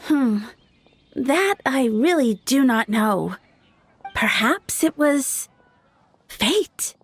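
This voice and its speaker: A woman's voice: high-pitched, expressive, breathy edge, full character voice. A woman playing a shy, lovestruck young townswoman in a video game, dreamy and hesitant. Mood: dreamy, wistful. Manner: dreamy, hesitant, breathless pauses.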